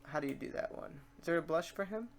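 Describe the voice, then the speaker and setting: A tutorial host with an androgynous, mid-pitched voice walks through software steps in an informal way. The delivery is conversational and unscripted, with the speaker often talking through each step to themselves. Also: fast talker. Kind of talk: casual software tutorial, thinking aloud.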